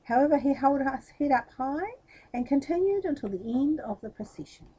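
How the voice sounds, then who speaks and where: A woman's voice: high-pitched, expressive, normal speed, dark tone, lo-fi sound. A woman reading a children's story expressively, with explanatory asides spoken directly to young listeners.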